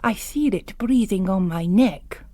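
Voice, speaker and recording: A woman's voice: medium pitch, a little slow, mellow, dry recording, lightly acted. A woman voicing a weary, sceptical male parish priest with a dry, understated manner in an audio story.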